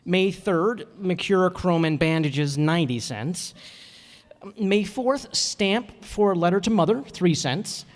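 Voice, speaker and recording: A man's voice: sits high, expressive, takes it slowly. In a reverberant hall, an actor plays a bashful, frugal man in a radio sitcom. He is hesitant and earnest, fussing over details with little stammers. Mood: earnest, fussy. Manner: hesitant, earnest, fussy, throat-clearing. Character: bashful, literal-minded, penny-pinching male teacher. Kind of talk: old-time radio sitcom acting before an audience. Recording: echoey hall, amateur stage performance.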